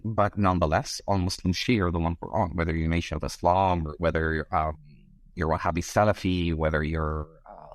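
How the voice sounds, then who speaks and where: A man's voice: low voice, no echo. A middle-aged man from Egypt, a scholar being interviewed, speaking in wordy, hesitant academic phrasing.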